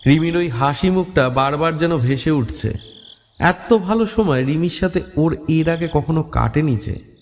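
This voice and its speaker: Higher-pitched male horror narrator in reverb. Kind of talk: scripted horror story narration. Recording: long reverb.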